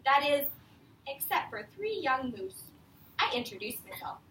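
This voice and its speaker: A woman's voice: high voice, very animated, normal speed, a bit bright. A woman teacher reading aloud to young children in a classroom, explaining simply and often prompting them with questions. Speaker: elementary school teacher. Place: echoey classroom. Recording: echoey room, distant mic, faint noise.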